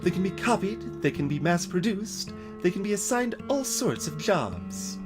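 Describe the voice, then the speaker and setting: A let's player voicing a weary, grave robot guide from a video game, explaining things in a measured way. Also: medium pitch, very animated, lightly acted. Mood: grave, resigned. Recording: music behind the voice.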